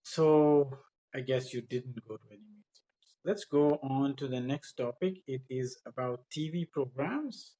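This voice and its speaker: A man's voice: mid-range voice, measured pace, mellow, poor audio. A man working as an English teacher, bluntly instructing and asking practice exam questions. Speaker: English speaking-test teacher. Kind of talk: mock speaking exam, questioning and coaching.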